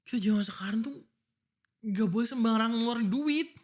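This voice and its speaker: A man's voice: very high-pitched, brisk. A man playing an exasperated, jealous young fiancé in a boyfriend audio roleplay, arguing emotionally with pointed questions. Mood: defensive, exasperated. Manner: emotional, argumentative, pleading, full of pointed questions. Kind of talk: boyfriend ASMR roleplay acting.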